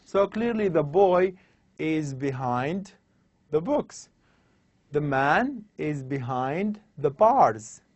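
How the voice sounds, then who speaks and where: A man lecturing on English grammar over slides, explaining slowly and repeating his examples. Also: a little high, sing-song, no room sound.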